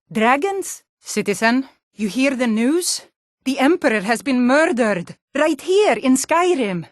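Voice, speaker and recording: A woman's voice: mid-range voice, no echo, lightly acted. Woman acting a blunt Nordic guardswoman, very dry recording. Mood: worried. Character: gruff, wary Nordic female guard and townswoman. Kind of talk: fantasy video game voice acting.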